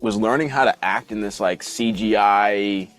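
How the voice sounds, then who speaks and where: A man with a fairly low voice gives a casual promotional interview as an actor. Faint music sits behind his talk. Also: moderate pace, a bit bright, low-quality recording.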